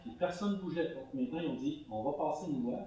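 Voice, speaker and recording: A man's voice: a little high, animated, fast, mellow. Québécois man speaking in an echoey hall. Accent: Québécois French. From Canada (Quebec). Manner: conversational, explanatory with many fillers. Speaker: conference speaker. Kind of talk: conference talk answering questions. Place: large conference hall. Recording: echoey hall recorded from far off.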